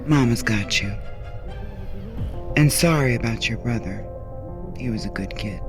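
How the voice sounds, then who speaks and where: A man's voice: medium pitch, measured pace, lightly acted. Man playing sardonic flophouse boss, music underneath. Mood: sly, familiar. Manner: sardonic, streetwise, calls people sweetness and baby. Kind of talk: sci-fi audio drama acting. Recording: loud music bed under dialogue.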